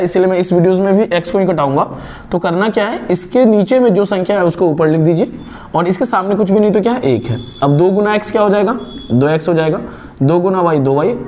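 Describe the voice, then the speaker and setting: A young man from India teaching a step-by-step math lesson, explaining slowly and asking and answering his own questions. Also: sits high, darkish tone.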